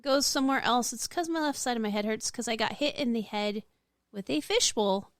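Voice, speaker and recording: A woman's voice: a little high, a little fast, no echo, studio quality. A woman co-hosting a podcast in casual group chat, with a playful, teasing manner. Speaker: podcast co-host.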